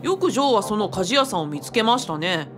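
An actress playing a wry, teasing, often exasperated companion to a spirit in a radio drama, with sardonic banter. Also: female voice, medium pitch, very animated, fast talker, over music, natural voice.

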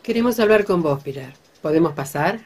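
A woman's voice: on the low side, lively intonation, a little fast, a little mellow, slightly rough. An actress playing a composed, discreet lady of a ranch-owning family in a radio drama, with a Rioplatense accent. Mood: grave, confiding. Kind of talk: radio soap opera acting.

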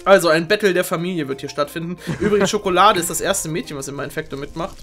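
A man's voice: on the high side, fast, fairly bright tone. A man presents a game show in a joking, exclamatory way. His commentary is theatrical and playful, with music playing behind him.

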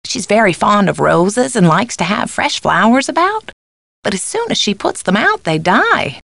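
An actress playing a kindly, motherly middle-aged American housekeeper in a video game, genteel and gently confiding. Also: mid-range voice, sing-song, normal speed, creaky, no echo, heavily acted. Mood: confiding, cautious. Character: kindly, motherly, concerned housekeeper woman on the phone. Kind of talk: acted game dialogue lines.

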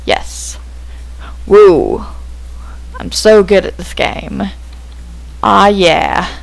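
Slow, gravelly man with a cracking voice. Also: high-pitched, very animated, poor audio. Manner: chatty, self-mocking jokes. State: voice cracking from hormone change. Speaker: let's play host. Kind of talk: casual gameplay commentary.

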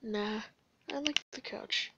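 A woman voicing a gentle, weary male skeleton in an amateur comic dub, low-key and hesitant in casual slang. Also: slow pace, very noisy. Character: gentle, weary male skeleton older brother, laid-back. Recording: dull, echoey room recording.

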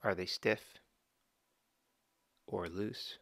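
Male meditation guide, low gravelly voice, dry close mic, slow calm instructions. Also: dry recording. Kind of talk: guided body scan meditation.

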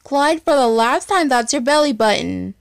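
A woman's voice: sits high, expressive, moderate pace, gravelly, no room sound, studio quality. A woman voicing an exasperated, sarcastic schoolboy in an amateur cartoon comic dub.

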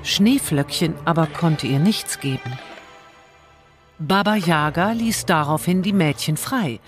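Low-voiced woman telling a fairy tale. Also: animated, measured pace, faint music behind. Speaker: storyteller. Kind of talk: scripted fairy-tale narration.

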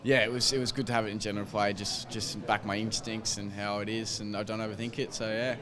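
A man's voice: on the low side, little pitch movement, fast. A young Australian man, a footballer in a post-match interview, talking hesitantly with many fillers and restarts into a handheld mic. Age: young adult. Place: team rooms by a sponsor wall, crowd noise behind. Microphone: handheld microphone held by interviewer. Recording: crowd noise behind.

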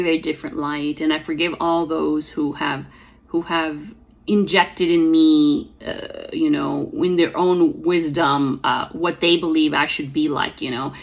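A woman's voice: sits low, steady tone, slow pace, vocal fry. A woman in her forties with a slight lisp, reflectively telling a personal story to camera from home. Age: 40s. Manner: reflective, earnest, with fillers and restarts. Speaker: poet and author. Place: small room at home, indoors. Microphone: device camera at close framing.

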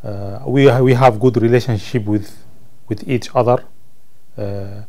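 A man in his thirties from Sudan, a native Arabic speaker with a Sudanese Arabic accent, answering interview questions as a student in halting English, searching for words. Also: on the low side, steady tone, mellow. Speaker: college ESL student being interviewed. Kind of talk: interview answers about own life. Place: indoor room, seated on camera.

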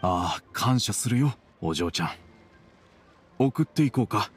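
A man playing a gruff but fond middle-aged father in an anime game, speaking in a measured, formal, slightly awkward way. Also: on the low side, lively intonation, soft background music. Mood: gruff, approving. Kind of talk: Japanese anime game dialogue acting.

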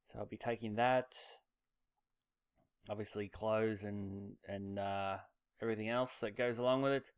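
A relaxed Australian man chatting to his camera in a casual vlog. His voice sits a little low and sounds smooth and clean. The sound is close and dry, with little room to it. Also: unhurried, no room sound. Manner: relaxed, rambling, many ums and uhs. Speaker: motorcycle vlogger.

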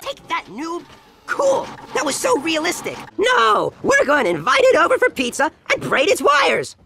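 A woman voicing an excitable, bratty female cartoon kid with sudden outbursts. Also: extremely high pitch, normal speed, gravelly, soft background music, full character voice.

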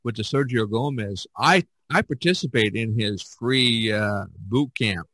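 A man's voice: on the low side, unhurried, fairly bright tone, slight background noise. A man hosting a podcast in a casual, rambling way, often repeating himself and circling back. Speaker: podcast host.

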